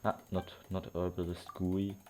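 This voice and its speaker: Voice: deep voice, level tone, a little slow, darkish tone, slight background noise. A game streamer giving casual commentary while playing, muttering asides and thinking aloud. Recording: game music behind. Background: video game soundtrack and dialogue.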